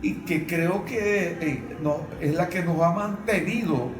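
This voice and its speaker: A Venezuelan man speaking as a panelist in an unscripted political discussion, explaining in a halting way. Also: sits high, noisy background. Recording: roomy, distant microphone.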